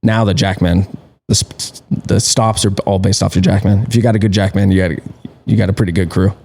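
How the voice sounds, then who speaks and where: A man's voice: sits low, fairly even intonation, fairly quick, vocal fry, a little mellow. A man in his early thirties, a pit crew tire changer, answering questions in an easygoing, laid-back way. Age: 30s. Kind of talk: casual interview.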